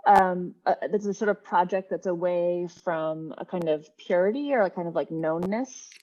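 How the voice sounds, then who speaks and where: A woman's voice: fairly low pitch, normal speed, darkish tone, highs cut off. A woman on a panel posing long, hedged questions with many fillers over a video call. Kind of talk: academic discussion question.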